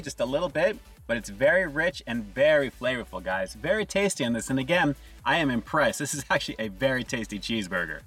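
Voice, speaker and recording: A man in his forties reviewing fast food from inside a parked car, upbeat and enthusiastic, talking to viewers. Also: mid-range voice, a bit bright, slightly rough, recorded from a distance. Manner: upbeat, enthusiastic, often says "guys".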